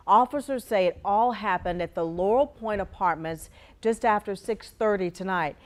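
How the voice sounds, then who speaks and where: Female American news anchor. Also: medium pitch. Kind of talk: scripted broadcast news reading.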